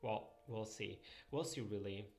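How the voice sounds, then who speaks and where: Gravelly Italian man chatting while drawing. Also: lowish voice, measured pace, soft background music. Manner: rambling, thinks aloud, reads and answers chat. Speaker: streamer drawing pixel art. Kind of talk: casual live-stream chat while drawing.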